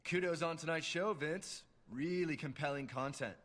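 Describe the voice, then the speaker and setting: A man's voice: fairly high pitch, moderate pace, fairly bright tone. A man playing a cocky, nonchalant young wrestling newcomer in a video game cutscene, with a sarcastic manner. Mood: smug.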